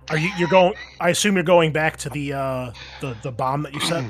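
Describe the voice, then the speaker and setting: A man's voice: a little high, fairly quick, slight background noise. A man running a tabletop roleplaying game, narrating scenes and explaining rules to players in a casual, informal way.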